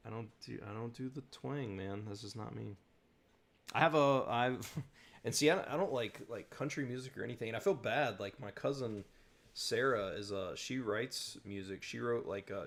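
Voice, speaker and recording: A man from the southern United States hosting a casual livestream Q&A, chatty and rambling, laughing often. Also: on the low side. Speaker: host.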